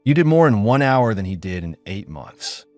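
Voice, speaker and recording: A man narrating gives voice to a guarded young alien woman, her replies soft and terse. She sounds wary and holds back in a storybook reading. The lines carry a lively lilt. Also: fairly low pitch, moderate pace, no echo, soft background music.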